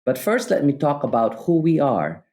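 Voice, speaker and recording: Mellow-voiced male podcast host. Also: fairly high pitch, wildly swooping pitch, moderate pace. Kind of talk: formal scripted introduction and interviewing.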